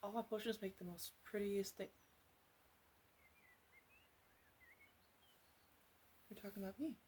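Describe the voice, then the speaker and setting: A woman playing a flirty, teasing witch in an ASMR roleplay, speaking softly and haltingly with some whispering. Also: mid-range voice, slight background noise, natural voice. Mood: flirty, pleased. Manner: soft-spoken, teasing, halting, partly whispered. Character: flirty teasing female witch tending a tipsy guest.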